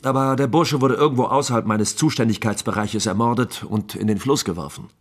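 An actor playing an affable, talkative captain of the imperial guard in a German radio drama, chatty, courteous and persuasive, with a husky voice. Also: male voice, mid-range voice, fairly quick. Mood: confiding.

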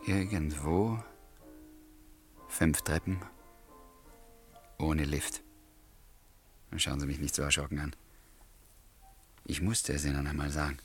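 A low-voiced man, a wry and courteous writer from a radio play. He narrates confidingly and speaks with theatrical politeness, with music in the recording. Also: steady tone, slow pace, pauses often. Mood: soft, earnest. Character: male writer, wry narrator, courteous and gently wooing a lodger. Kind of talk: German radio play acting, narration and dialogue.

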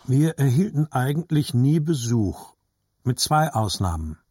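A man's voice: medium pitch, big pitch swings, measured pace, a little mellow, slightly rough, no echo, studio quality. A man narrating scripted literary childhood memories as a grown grandson in an audio drama. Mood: reflective.

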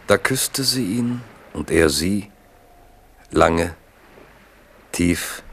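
Very deep, slow male narrator. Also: fairly bright tone. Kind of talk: literary scripted narration for radio drama.

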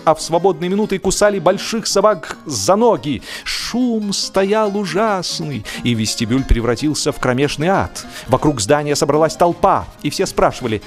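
Expressive male storyteller over music. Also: fairly high pitch. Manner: theatrical, mock-solemn, ironic. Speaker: audiobook narrator. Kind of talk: expressive narration of a humorous story.

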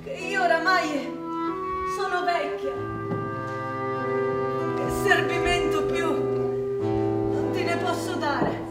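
A woman's voice: very high-pitched, steady tone. An actress playing an old Sicilian peasant mother on stage, tender and weary, pleading in a half-chanted way with a Sicilian accent. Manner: slow, plaintive, half-chanted. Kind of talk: theatrical stage acting. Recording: echoey stage, distant mic, loud music. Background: loud music with bowed strings.

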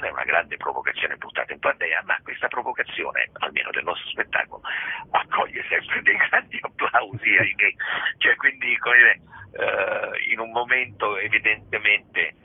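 A man, a theatre director and actor, explaining a play in a radio interview, pausing often to search for words, heard over a phone line. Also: fairly low pitch, creaky, slightly rough, no room sound. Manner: searching for words, frequent pauses and hedges.

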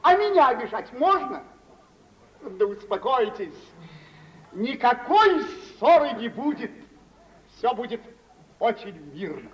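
An actor playing a touchy, vain suitor, declaiming with bitter sarcasm in an old Russian radio drama. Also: male voice, extremely high pitch, sing-song, slow, pauses often, lo-fi sound, slight background noise, heavily acted. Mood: wounded, pompous.

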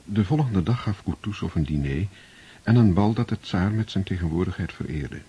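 Deep, mellow solemn male narrator. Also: expressive, slight background noise. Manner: measured, solemn. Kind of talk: scripted radio play narration.

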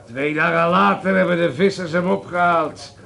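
A rude, drunken hotel guest in a radio drama. His voice is high-pitched and full of gravel, and he speaks coarsely, like a bully barking orders. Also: fairly even intonation, moderate pace, bright, forceful tone, heavily acted. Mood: taunting, menacing.

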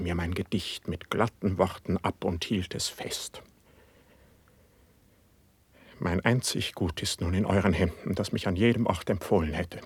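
An actor playing an anguished, distrustful poet on the edge of madness, declaiming classical verse in a radio play. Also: male voice, low voice, slightly rough, no echo, full character voice. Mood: bitter, wounded. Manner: declamatory, lamenting, impassioned.

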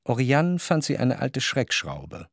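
An actor playing a reflective, wryly observant narrator in a literary radio drama, speaking in a measured, articulate way. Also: male voice, mid-range voice, huge pitch swings, no room sound, studio quality, heavily acted. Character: reflective, observant male narrator of society life. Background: faint music.

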